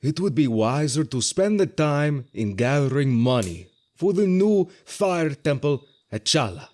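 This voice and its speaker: An actor playing a stern, pragmatic sceptic in an audio drama, dismissive and lecturing in formal, solemn phrases. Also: male voice, on the high side, big pitch swings, measured pace, a little mellow.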